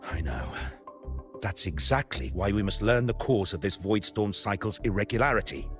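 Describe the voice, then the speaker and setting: A man acts out a male professor from an anime-style game with a deep voice and lively intonation. He sounds grave, measured and authoritative, carrying a heavy responsibility. Music plays clearly behind him. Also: lightly acted. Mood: resolute, weary. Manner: serious, measured.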